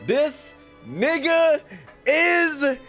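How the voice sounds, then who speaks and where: American guy streaming a game, super animated, sweary and slangy, bit of music in the background. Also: very high-pitched, very slow. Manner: profane, slangy, teasing, animated. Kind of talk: casual live-stream commentary. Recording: faint game music behind.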